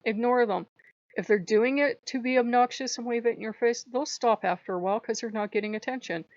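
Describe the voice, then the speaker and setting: A slightly hoarse woman hosting her own channel, talking in an earnest, rambling way and repeating phrases for emphasis. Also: a little high, fairly quick, a little mellow, dry recording, lo-fi sound. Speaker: channel host. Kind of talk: casual spiritual vlog monologue.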